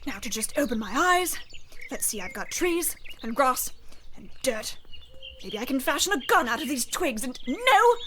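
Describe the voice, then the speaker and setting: Lady playing a high voiced huntress, scheming n flustered, radio comedy, faint noise behind. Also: sing-song, normal speed, a bit bright. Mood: frantic. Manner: talks herself through predicaments, rambling. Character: wily, panicky huntress. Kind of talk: scripted radio-play comedy acting. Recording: noisy recording.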